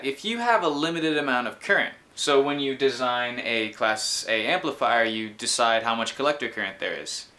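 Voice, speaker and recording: Bright-voiced young man explaining electronics. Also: mid-range voice. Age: 20s. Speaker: host explaining his electronics project. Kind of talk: informal technical explanation to camera and in voice-over. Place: small room indoors.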